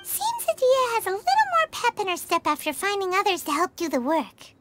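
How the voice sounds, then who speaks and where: A woman voicing a tiny, cheeky, chatty fairy companion with a squeaky childlike voice in a video game cutscene. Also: wildly swooping pitch, brisk, full character voice. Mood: teasing. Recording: music behind the voice.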